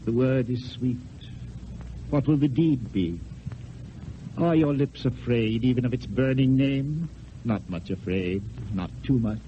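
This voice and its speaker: An actor playing a passionate, eloquent poet wooing in secret, in lyrical theatrical declamation with dramatic pauses. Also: male voice, mid-range voice, a little hiss or hum, natural voice. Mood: seductive, poetic. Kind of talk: mid-century theatrical classical stage acting. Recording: dull old radio recording with music.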